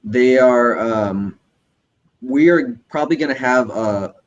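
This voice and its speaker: A man moderating a livestream Q&A, reading out student questions in a measured way. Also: mid-range voice, dull audio. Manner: measured, reads questions aloud slowly. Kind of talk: casual livestream Q&A.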